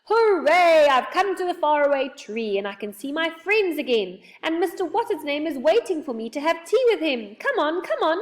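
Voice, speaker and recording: Woman voicing a dotty, excitable old man, swooping pitch. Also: measured pace, hardly any pauses, recorded from a distance. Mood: overjoyed. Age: older. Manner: emphatic, exclaiming, eagerly mishearing. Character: dotty old hard-of-hearing man, cheerful, excitable, muddled. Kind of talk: children's storybook character reading.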